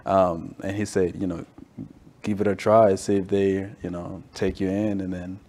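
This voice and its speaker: A man in his twenties who comes from Tanzania talks as a guest in a casual interview. His voice sits a little low, and he tells things conversationally, often checking in with short tags. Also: little pitch movement, normal speed, dark tone. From Tanzania, moved to the US at ten. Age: 20s. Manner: frequent "you know" and "right?" tags. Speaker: interview guest, staff accountant.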